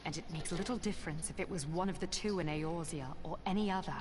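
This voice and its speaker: Solemn, low-voiced actress as a devout woman officer. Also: fast talker, background noise, lightly acted. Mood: grave. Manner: formal, archaic phrasing. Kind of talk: scripted video game cutscene acting. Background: game music.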